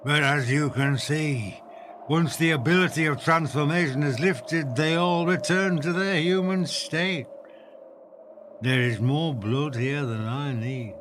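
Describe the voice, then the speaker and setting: A man's voice: on the high side, unhurried, noisy background, close to an everyday voice. An actor playing a weary, wry undead man in a radio play, talking with dry amusement. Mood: menacing calm. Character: weary, wry undead man, blood-drinker who spares his visitor.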